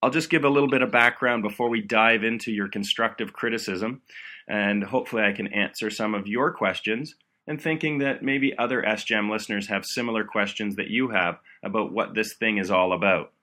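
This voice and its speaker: A man's voice: on the low side, steady tone, brisk, bright, forceful tone. A man hosting a medical education podcast, explaining with upbeat enthusiasm. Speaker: podcast host.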